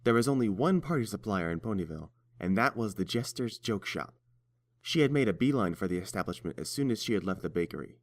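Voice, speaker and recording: Low-voiced male story narrator. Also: animated, fast talker, no room sound, clean studio sound.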